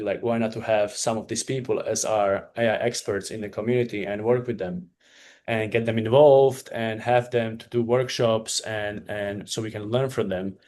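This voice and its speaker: A man's voice: fairly low pitch, darkish tone. A man presenting on a webinar as a community founder, talking with frequent hesitations and restarts.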